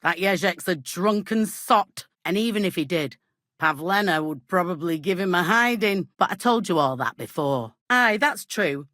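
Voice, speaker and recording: A gossipy, superstitious village innkeeper from a medieval video game. Her voice sits on the low side and swings widely in pitch. She talks in blunt, folksy country phrases, recorded close and dry. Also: expressive, moderate pace, fairly bright tone, dry recording. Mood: gossipy, confiding. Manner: colloquial, earthy rustic speech with folksy idioms. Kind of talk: video game character dialogue.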